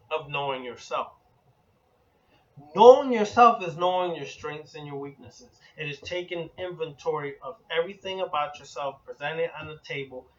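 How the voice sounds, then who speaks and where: A man with a slightly raised pitch teaches in a measured, deliberate way, stacking his points one after another in lists. The recording is dull and lo-fi, and he sounds set back from the microphone. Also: fairly bright tone, recorded from a distance. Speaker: occult teacher. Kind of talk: spoken lecture.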